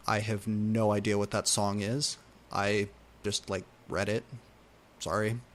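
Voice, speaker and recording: A man reading fan fiction aloud in a deliberate, theatrical way with exaggerated emphasis. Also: on the low side. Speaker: reader.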